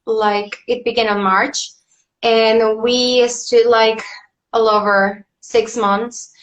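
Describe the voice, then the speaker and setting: A woman's voice: a little high, level tone, takes it slowly, fairly bright tone, poor audio. Bubbly young woman. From Bolivia. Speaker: pageant contestant. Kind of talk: casual video call chat.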